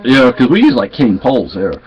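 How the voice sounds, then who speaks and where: Southern-accented Texan man, casual vlogger, folksy and meandering, mid-pitched, muffled lo-fi sound. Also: big pitch swings, a little fast. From Texas. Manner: rambling, folksy, with many restarts. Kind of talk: casual storytelling chat. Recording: dull lo-fi sound.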